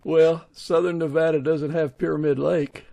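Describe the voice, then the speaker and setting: A man's voice: fairly high pitch, level tone, moderate pace, creaky, warm tone. A man hosting a podcast, moving between scripted introduction and friendly interview talk. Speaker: podcast host.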